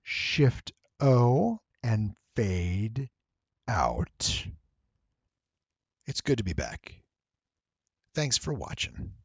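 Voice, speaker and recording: A man giving a casual, rambling software walkthrough, thinking aloud and playfully addressing his viewers. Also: medium pitch, sing-song, drawn-out words, long pauses, husky, muffled recording. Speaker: tutorial presenter and voice actor.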